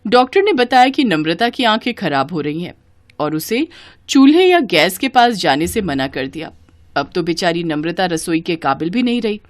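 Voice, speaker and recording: A woman narrating a scripted Hindi family story as storyteller, with an expressive storybook delivery. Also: fairly low pitch, normal speed.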